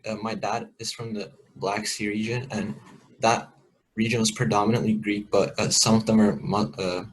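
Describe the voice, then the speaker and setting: A man's voice: on the low side, flat delivery, normal speed, slight background noise, low-quality recording. A young man in the audience, a student, explaining hesitantly and searching for words.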